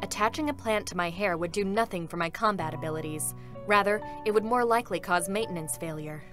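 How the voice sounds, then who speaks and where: A woman's voice: medium pitch, a little fast, a bit bright, soft background music, close to an everyday voice. A woman voicing a stoic female combat android in a dramatic reading, formal, serious and restrained, with sorrow held back. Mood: curt, composed.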